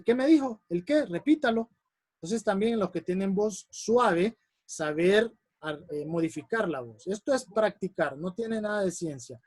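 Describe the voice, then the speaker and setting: A man with a strong voice teaching a public speaking workshop, animated and repeating examples for emphasis. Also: high-pitched, no room sound. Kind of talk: workshop lesson. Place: classroom or online lesson.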